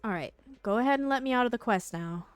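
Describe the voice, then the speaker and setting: A woman's voice: on the high side, lively intonation, quick pace, clear, resonant tone, dry recording. A woman streaming a game, giving casual, slangy live commentary and reacting aloud as she plays.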